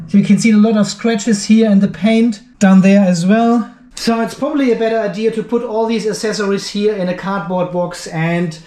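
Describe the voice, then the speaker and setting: Guy w high voice, reviewing gear hands-on, thinks out loud, echoey room. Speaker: video host and product reviewer. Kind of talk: unscripted hands-on product review commentary.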